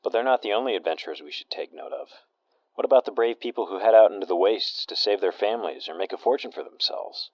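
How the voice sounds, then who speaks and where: A man playing a genial, deadpan talk radio host in an audio drama. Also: fairly low pitch, quick pace, dry recording, highs cut off, lightly acted. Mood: earnest.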